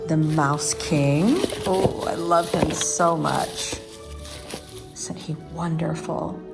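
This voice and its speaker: A woman's voice: deep voice, fairly even intonation, takes it slowly, gravelly. A woman hosting a chatty home decorating vlog, talking in an enthusiastic, gushing way. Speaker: vlog host. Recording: music playing behind the voice. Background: music.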